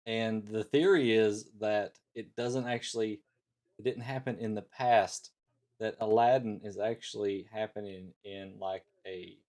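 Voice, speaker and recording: A man co-hosting a casual panel chat, talking haltingly as he searches for his words. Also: on the low side, fairly even intonation, darkish tone. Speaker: podcast co-host.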